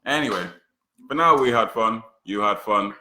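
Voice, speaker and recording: A man's voice: on the low side, normal speed, bright, forceful tone, a little hiss or hum. A man from Manchester co-hosting a casual livestream chat, joking and crude in a laid-back way. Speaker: co-host. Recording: echoey room.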